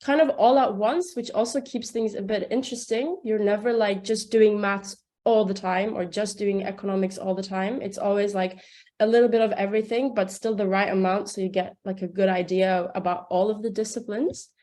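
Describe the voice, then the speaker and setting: A woman's voice: medium pitch, fairly even intonation, moderate pace, mellow. A young woman from Turkey, a second-year student, talking casually and chattily about her studies, with many "like"s. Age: young adult. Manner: chatty, uses "like" a lot.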